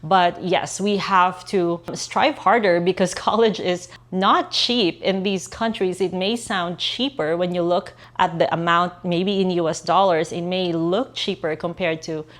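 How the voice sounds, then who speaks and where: Filipina woman in her 30s, kinda low voice, earnest, leans on words by saying them twice. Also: fairly even intonation, a little slow, hardly any pauses. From Philippines. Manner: earnest, emphatic, repeats for stress. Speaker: outsourcing business owner. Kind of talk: direct-to-camera business talk.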